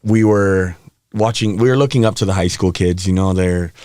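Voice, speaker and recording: A man around thirty who grew up in Hawaii, a podcast guest telling stories from his life in a relaxed way, laughing often and getting animated as the story builds. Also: low voice, moderate pace, no room sound, studio quality. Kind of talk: candid storytelling interview. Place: podcast studio. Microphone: studio mic.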